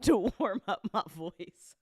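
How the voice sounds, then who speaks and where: A woman hosting a casual livestream, effusive and excitable, repeating phrases and swearing freely. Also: mid-range voice, slow pace, breathy edge, dry recording, studio quality. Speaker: host and voice actor.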